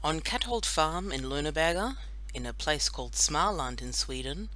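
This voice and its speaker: A woman narrating a children's audiobook in an expressive, deliberate storytelling manner. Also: sits low, rough recording. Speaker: audiobook reader.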